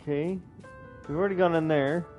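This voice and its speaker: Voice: medium pitch, fairly even intonation, fairly quick, a little mellow. A game player giving casual, slangy, laid-back playthrough commentary on a lo-fi mic. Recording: dull lo-fi mic, faint music.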